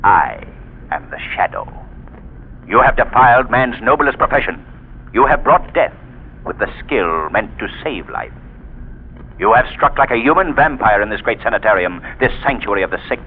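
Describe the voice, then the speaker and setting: An actor playing a mysterious, commanding male avenger in a 1930s radio drama, speaking in a stern, deliberate manner. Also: fairly low pitch, lively intonation, slightly rough. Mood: ominous. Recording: tinny old 1930s radio recording with music.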